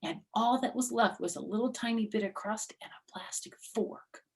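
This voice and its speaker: A woman voicing an excitable young schoolgirl in a storybook, dramatic and cheeky, with childish, whiny phrasing. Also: on the high side, normal speed, pitched above the performer's own voice, lightly acted. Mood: indignant, confiding. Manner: childish grammar, whiny pleading, hedging.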